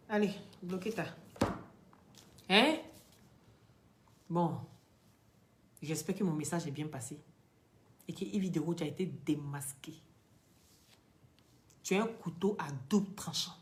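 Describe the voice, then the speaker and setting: A woman's voice: sits low, unhurried, long pauses, a little hiss or hum. Outspoken Ivorian woman ranting to camera. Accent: Ivorian French. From Côte d'Ivoire. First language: not French. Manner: blunt, emphatic, street slang, rises to loud outbursts. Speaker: online commentator.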